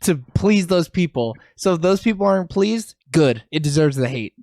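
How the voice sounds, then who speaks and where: Blunt, higher-pitched man co-hosting a podcast. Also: expressive, normal speed, creaky, darkish tone, no room sound, studio quality. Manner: blunt, emphatic, swears freely. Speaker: podcast co-host. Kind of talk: casual chat.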